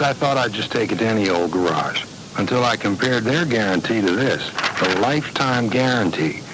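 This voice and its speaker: A man's voice: mid-range voice, a bit bright, a little hiss or hum, lightly acted, rough recording. A man playing a plain-spoken, satisfied American truck owner in a conversational, folksy 1980s radio testimonial ad.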